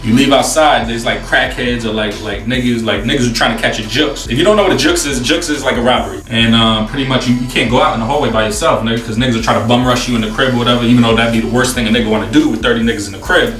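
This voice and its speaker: A man in his twenties with a New York accent, telling a story casually to camera in a slangy, profane, animated way. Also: mid-range voice, very fast, few pauses. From New York City, USA. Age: 20s. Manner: slangy, profane, animated retelling with acted-out dialogue. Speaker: host. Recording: roomy echo, distant mic, faint music.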